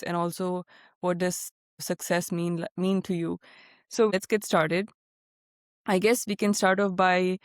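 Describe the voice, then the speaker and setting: Female podcast host, low and level delivery, dry close sound, easygoing and filler-heavy. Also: clean tone, no echo. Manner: warm interviewer, many fillers, relates to the guest. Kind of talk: casual interview chat.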